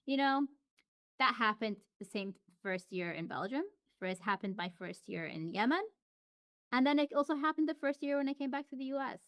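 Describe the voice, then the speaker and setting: A woman's voice: sits high, animated, normal speed, fairly bright tone, dry recording, clean studio sound. A woman as an interview guest, talking casually and reflectively with frequent restarts and fillers. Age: adult.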